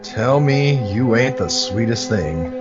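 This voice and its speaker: Male actor as big gruff protector in horror radio play. Slow, emphatic delivery, muffled lo-fi sound, loud score. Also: mid-range voice, expressive. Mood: urgent, commanding. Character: big determined man defending a besieged farmhouse, gruff and protective. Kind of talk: horror audio drama acting. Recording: dull lo-fi audio under loud music. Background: loud dramatic music.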